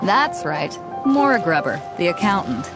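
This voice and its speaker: A woman voicing a confident, easygoing female ship captain in a video game cutscene, speaking in a relaxed, knowing way. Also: lowish voice, unhurried. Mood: sly. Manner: relaxed, knowing, laughs often. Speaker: fleet captain. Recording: game music under the voice.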